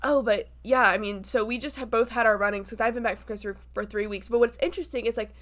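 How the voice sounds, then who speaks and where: A woman's voice: on the high side, steady tone, quick pace, fairly bright tone. A young woman co-hosting a podcast, chatting in a bubbly way and laughing easily while congested and sniffly. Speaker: podcast co-host. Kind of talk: casual chat.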